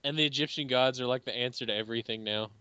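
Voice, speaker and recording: A man's voice: medium pitch, fast talker, bright, forceful tone, clean tone, no room sound, dull audio. A man with a mid-range voice riffs casually as co-host of a fan commentary. He is crude and jokey, swears freely and likes mock quotations. The sound is dry, with little room to it. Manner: crude, profane, breaks into mock voices and quotes.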